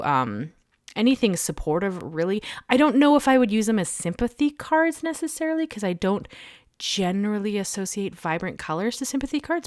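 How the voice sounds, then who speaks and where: Slightly low woman with a head cold. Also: no echo, clean studio sound. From Canada. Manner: low-energy, chatty, many restarts. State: has a head cold and sore throat. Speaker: card-making channel host. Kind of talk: crafting tutorial voiceover.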